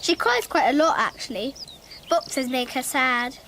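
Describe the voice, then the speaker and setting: A woman's voice: high voice, expressive, normal speed, pushed and bright, a little hiss or hum, heavily acted. A female performer playing an imaginative, earnest little schoolgirl in a radio drama, rambling and literal-minded as she talks and narrates. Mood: chatty, curious.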